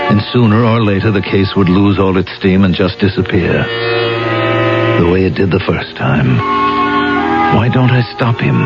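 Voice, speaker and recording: An actor playing a troubled middle-aged police inspector, brooding and anguished, in the confessional style of seventies radio drama. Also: male voice, deep voice, exaggerated voice. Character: troubled older New York police inspector, guilt-ridden unfaithful husband. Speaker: police inspector, narrator of his own story. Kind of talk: 1970s radio drama acting, confessional narration. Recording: dull vintage radio recording with loud music.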